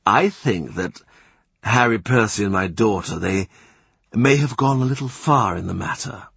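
A man voicing a cool, evasive diplomat who speaks in a measured, discreet way, with a husky voice. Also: fairly low pitch, a bit bright, dry recording, full character voice.

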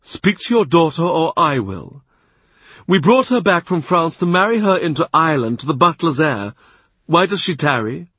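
A man voicing a domineering, blustering powerful cardinal, husky and sarcastic. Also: a little high, sing-song, normal speed, dry recording, voice pushed up, heavily acted. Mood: imperious. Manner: forceful, imperious, sarcastic.

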